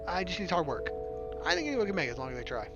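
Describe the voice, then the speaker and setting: A woman's voice: lowish voice, wildly swooping pitch, rapid-fire, dull audio. Jokey woman reading a game aloud over music. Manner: flippant, jokey. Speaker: gaming video host reading a visual novel aloud. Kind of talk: playthrough reading with casual joking asides. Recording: loud game music behind the voice.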